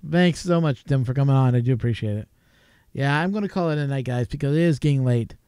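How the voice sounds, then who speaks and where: A man's voice: mid-range voice, fast, a little mellow, clear, resonant tone, no room sound, clean studio sound. A man from Canada, hosting a late-night live stream from his home desk, chatting casually and rambling with chuckles. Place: home desk crowded with gear. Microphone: desk mic with a mixer.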